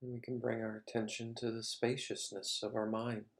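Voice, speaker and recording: A man leading a guided meditation as a teacher, speaking calmly in short, measured phrases with long pauses. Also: mid-range voice.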